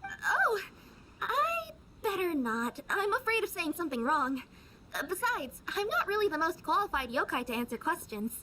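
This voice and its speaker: A woman's voice: very high-pitched, sing-song, moderate pace, noisy background. A woman voicing a cute, conscientious young female cat spirit courier in an anime game. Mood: flustered.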